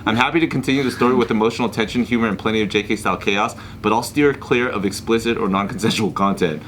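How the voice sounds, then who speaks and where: A man's voice: fairly low pitch, fast talker, a bit bright, slight background noise. A man bantering casually in a group, profane and joking. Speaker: panelist on a comedy talk show.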